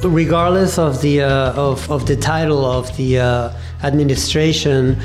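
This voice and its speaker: A man in his fifties from Argentina, telling his life story in an interview with a thoughtful, halting manner and many pauses. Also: mid-range voice, steady tone, faint music behind. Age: 50s. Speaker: interview guest, cafe owner. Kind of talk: reflective personal storytelling.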